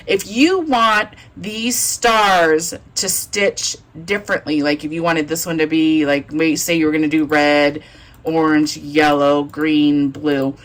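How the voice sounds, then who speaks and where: A woman with a low voice talks through an embroidery design program one click at a time. Her delivery is chatty and unscripted, with lots of thinking aloud as she works. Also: fairly bright tone. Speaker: embroidery shop owner demonstrating software. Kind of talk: casual screen-recorded software tutorial.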